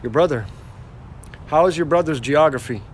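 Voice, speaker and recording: A man's voice: on the high side, moderate pace, a little hiss or hum. A man from the former Yugoslavia chatting casually with livestream viewers from inside a car, rambling, repeating himself and answering the chat. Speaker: rideshare driver livestreaming. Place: inside a car on city streets.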